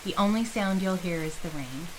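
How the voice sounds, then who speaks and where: A woman's voice: fairly low pitch, lively intonation, moderate pace, noisy background, natural voice. A woman voicing a polite, informative male spa attendant in a fantasy story. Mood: courteous.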